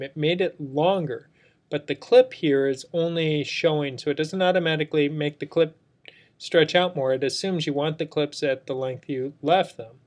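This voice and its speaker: A man narrating an unscripted screen tutorial as an instructor, explaining things step by step. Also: a little high. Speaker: software instructor. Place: indoors, screen recording voice-over.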